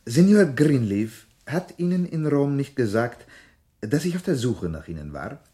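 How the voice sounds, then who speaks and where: A man acting in a German radio drama as a courteous Italian police lieutenant who questions formally and deliberately. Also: mid-range voice, sing-song, mellow. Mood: formal, probing.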